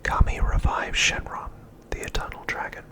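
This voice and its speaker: Man, breathy whisper, ASMR host, scripted recap narration, deliberate short phrases. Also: bright, forceful tone.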